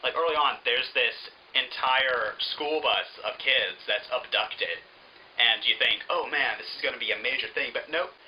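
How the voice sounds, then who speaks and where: A man giving an opinionated, informal book review in an exasperated, sarcastic, animated manner. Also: medium pitch, normal speed, slight background noise, poor audio.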